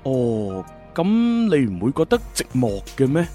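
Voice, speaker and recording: Casting: young undercover agent in radio drama, teasing and probing, lively intonation. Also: male voice, medium pitch, unhurried, soft background music. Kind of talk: Cantonese radio drama acting.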